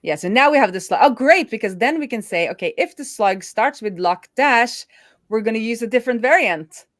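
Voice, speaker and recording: A woman's voice: a little high, animated, fairly quick, dry recording, studio quality. A Norwegian woman with an American-sounding English accent, chatting casually while she codes on a live stream and thinking aloud with many fillers. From Norway. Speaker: developer co-hosting a live build stream. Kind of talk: casual live coding chat.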